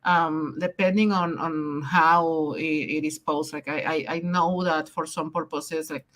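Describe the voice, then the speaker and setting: A woman's voice: low-pitched, fairly even intonation, a little slow, a bit bright. A woman hosting a podcast, thinking aloud with many hesitations and restarts. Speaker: podcast host. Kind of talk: conversational podcast talk.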